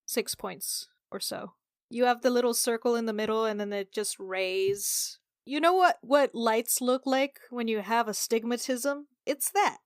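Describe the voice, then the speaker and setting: A woman's voice: on the high side, unhurried, dry recording, clean studio sound. A woman casually describing her character as a player in a tabletop game. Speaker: role-playing game player.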